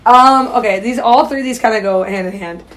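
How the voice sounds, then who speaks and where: A young woman co-hosting a casual group chat, throwing in quick, dry retorts. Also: on the high side, a bit bright, low-quality recording. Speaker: co-host.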